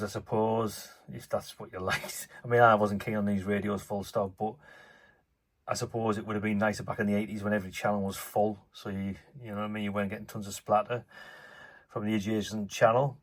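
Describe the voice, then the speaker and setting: Offhand man, rambling low voice. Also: level tone, brisk. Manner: rambling, offhand, many fillers. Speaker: channel host. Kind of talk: casual hands-on commentary. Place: indoor workbench. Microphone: phone.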